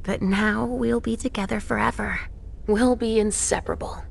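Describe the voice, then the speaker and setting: Fragile, creaky-voiced troubled mother. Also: on the high side, steady tone, normal speed. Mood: tender, reassuring. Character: troubled, tender vampire seer mother, fragile and uneasy. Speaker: video game character. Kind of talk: dramatic game cutscene acting.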